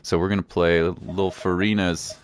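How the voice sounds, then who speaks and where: A man's voice: low voice, flat delivery, normal speed, a little hiss or hum. A man casually chatting and commentating on a game livestream in a laid-back, playful, chatty way. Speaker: live game streamer. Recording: music playing behind the voice.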